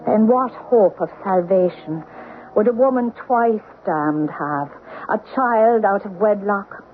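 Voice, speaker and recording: An actress playing a weary, solemn countrywoman telling grim secrets in an old-time radio horror play, with grave, deliberate delivery. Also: medium pitch, close to an everyday voice. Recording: noisy, muffled vintage radio recording.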